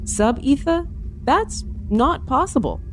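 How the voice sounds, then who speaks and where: A woman's voice: sits high, sing-song, slow pace, heavily acted. A woman playing an alert, dryly humorous starship bridge officer in a fan audio drama, with music behind her. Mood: dry, doubtful.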